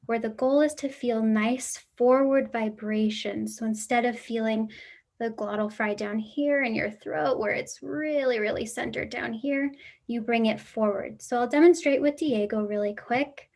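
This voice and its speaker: A woman's voice: on the high side, normal speed, darkish tone. A young woman student clinician giving a casual instructional demonstration and coaching others in a friendly way. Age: young adult.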